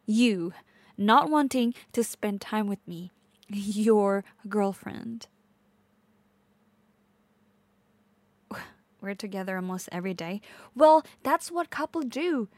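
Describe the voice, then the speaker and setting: A woman's voice: medium pitch, a little mellow, no echo, close to an everyday voice. A woman playing a possessive, volatile, manipulative girlfriend in an intense audio roleplay, addressing the listener directly. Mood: indignant, clingy. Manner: intense, swearing, swinging between pleading and menace.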